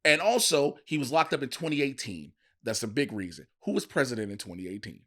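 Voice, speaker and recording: Casting: American male podcast co-host, medium-pitched, argumentative, slangy, swearing, conversational. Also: expressive, fairly quick, sharp tone. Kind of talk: casual podcast chat.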